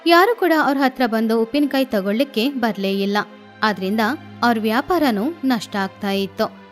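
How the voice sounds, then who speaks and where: A woman narrating a children's cartoon story in a steady, explanatory way. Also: a little high, moderate pace. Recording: faint music behind.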